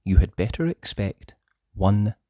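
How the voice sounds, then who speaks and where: A storyteller reading a children's book aloud in a theatrical, deliberate way, with emphatic words and hushed passages. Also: low-pitched, animated, dry recording, clean studio sound. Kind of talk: expressive children's story narration.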